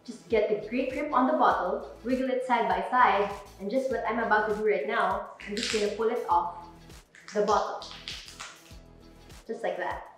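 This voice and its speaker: A young woman giving clear step-by-step instructions on wine bottles, in an instructional demo recorded indoors. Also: medium pitch, moderate pace, a bit bright, faint music behind. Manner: clear, plain step-by-step explaining. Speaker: wine presenter. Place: indoor room with a table. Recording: very echoey room.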